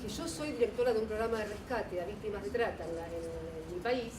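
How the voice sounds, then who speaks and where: A woman's voice: medium pitch, a little fast. Argentine woman questioning from afar. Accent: Argentine Spanish. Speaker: audience member asking a question. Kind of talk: panel discussion question. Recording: echoey room, distant mic, noisy.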